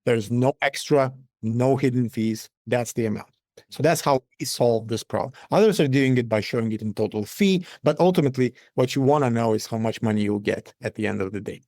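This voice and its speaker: A man's voice: mid-range voice, darkish tone, dry recording, studio quality. Man, matter-of-fact. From Lithuania. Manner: measured, matter-of-fact explaining. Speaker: company founder and CEO, guest. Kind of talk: business interview answers.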